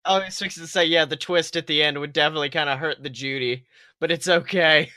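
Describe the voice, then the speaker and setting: Young male podcast co-host: very bright, forceful high voice, profane comedic riffing, casual delivery. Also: fairly even intonation, quick pace, no room sound, clean studio sound.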